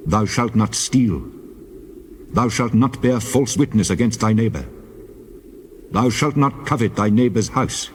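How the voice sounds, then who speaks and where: A man playing God as a solemn, commanding deity, measured and declamatory in a dramatized scripture reading. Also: on the low side, a little hiss or hum.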